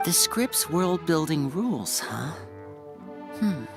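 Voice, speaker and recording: An actress playing a composed, pragmatic woman with measured, thoughtful delivery in a video game. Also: deep voice, big pitch swings, over music, heavily acted. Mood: calm, thoughtful. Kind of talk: video game character dialogue.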